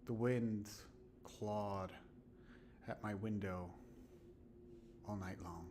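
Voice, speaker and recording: Man putting on a low, hushed horror storyteller. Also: fairly even intonation, slight background noise. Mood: eerie, hushed. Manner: hushed, deliberate, smooth reading. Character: storyteller narrating an eerie horror tale. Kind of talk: scripted narration of a horror story.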